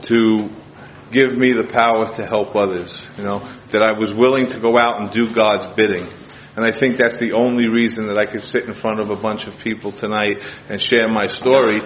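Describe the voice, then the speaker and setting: A man's voice: lowish voice, slight background noise. A man from Queens giving a plain-spoken, self-deprecating talk at a meeting, full of restarts and a little choked up. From Queens, New York. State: choked up with emotion. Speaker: speaker at a recovery meeting. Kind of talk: personal recovery talk to a group. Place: meeting room. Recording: dull lo-fi room recording with faint ambience.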